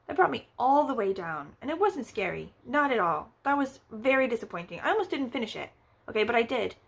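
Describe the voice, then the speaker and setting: A woman's voice: mid-range voice, gravelly. A woman chatting casually as a book vlogger, rambling with lots of fillers and wry, playfully exaggerated asides. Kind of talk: casual book review chat.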